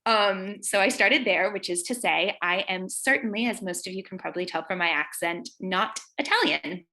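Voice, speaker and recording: Bright-voiced chatty woman. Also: mid-range voice, expressive, moderate pace, rough recording. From North Carolina, US. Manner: chatty, rambling, many fillers and self-corrections. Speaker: guest speaker, former tour guide. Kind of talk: live online presentation. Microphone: video call.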